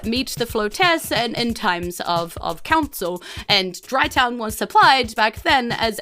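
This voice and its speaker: A woman's voice: mid-range voice, fairly bright tone. Woman doing an officious, long-winded male tour guide. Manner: long-winded, halting, formal. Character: prattling male tourist-town guide, unflappable, officious, formal.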